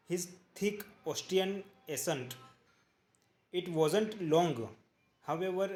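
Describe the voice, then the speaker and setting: A man reading a book aloud in a halting way, repeating each sentence and correcting his own slips. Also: on the high side.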